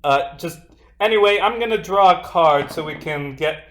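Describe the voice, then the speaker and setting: A man acting as dungeon master, joking and animated, correcting himself as he goes. His voice sits a bit higher than most men's. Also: normal speed. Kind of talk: running a tabletop game.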